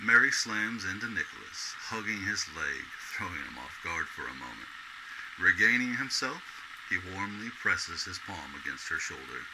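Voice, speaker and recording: A man's voice: deep voice, very animated, moderate pace, noisy background. A man giving scripted storytelling narration for a radio play.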